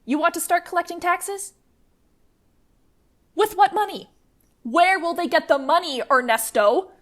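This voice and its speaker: Radio drama role: fierce female leader of an armed commune, played by a woman, high voice, defiant, forceful, swears freely. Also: lively intonation, measured pace, full character voice. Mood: exasperated, sarcastic. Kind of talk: radio play acting.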